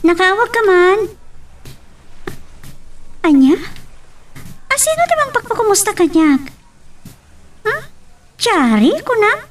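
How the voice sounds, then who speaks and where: A young woman played by an actress speaks in a high voice, a little away from the mic. She is a chatty daughter and friend in a radio-style drama. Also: very animated, takes it slowly, pauses often, recorded from a distance, a little hiss or hum, heavily acted. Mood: chatty, puzzled.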